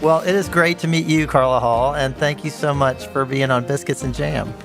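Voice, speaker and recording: A man hosting a podcast, asking questions politely and reading the show's credits at a steady pace. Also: mid-range voice, moderate pace, creaky, over music. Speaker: podcast host and magazine editor. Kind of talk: interview and scripted outro.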